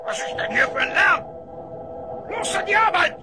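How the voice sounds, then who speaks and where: An actor playing an angry, threatening slave driver yelling in a fantasy radio play. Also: very high-pitched, expressive, moderate pace, over music.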